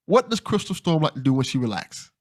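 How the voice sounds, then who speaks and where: A man hosts a relaxed podcast interview in a very animated voice that moves freely up and down. He announces things with playful drama and laughs easily. The sound is dry, with no room echo. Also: on the high side, quick pace. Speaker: podcast host.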